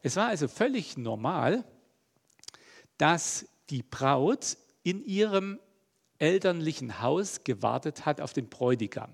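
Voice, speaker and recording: A middle-aged male preacher with a gravelly, fry-edged voice that sits a little high. He talks in a loose, chatty way with frequent fillers, more like a conversation than a formal sermon. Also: measured pace. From East Germany. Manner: relaxed, chatty, many fillers.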